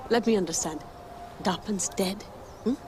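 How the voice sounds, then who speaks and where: A woman's voice: medium pitch, normal speed, natural voice. A woman playing a hard, impatient rebel leader in a video game, curt and confrontational. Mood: angry, disbelieving. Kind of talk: video game cutscene acting. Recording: noisy outdoor game ambience.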